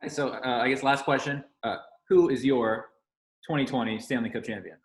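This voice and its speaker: A man co-hosting a casual interview podcast, asking questions in a halting way with repeated words. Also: mid-range voice. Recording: roomy echo, faint music.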